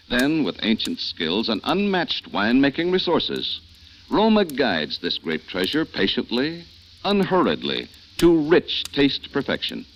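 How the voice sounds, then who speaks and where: Give me a smooth, salesy male radio announcer with a very animated voice, on a dull hissy old recording. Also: medium pitch. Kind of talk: reading a radio ad.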